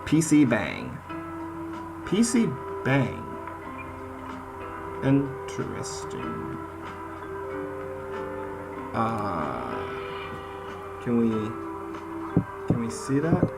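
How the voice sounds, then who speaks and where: A man's voice: mid-range voice, huge pitch swings, extremely slow, creaky, long pauses, poor audio. A man hosting a gaming video, chatting casually and reading game text aloud. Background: game soundtrack music.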